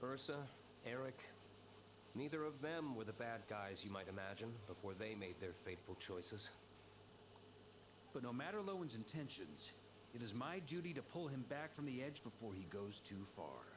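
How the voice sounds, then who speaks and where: An actor playing a grave, dutiful knight who speaks calmly and resolutely. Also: male voice, medium pitch, moderate pace, close to an everyday voice. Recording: game audio with background noise.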